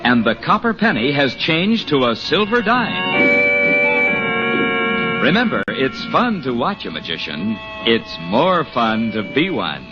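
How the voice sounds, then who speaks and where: A dramatic male announcer of 1940s radio, reading a script with wide swings of pitch. His delivery is emphatic. The old recording is muffled, with clear music behind. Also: big pitch swings, unhurried.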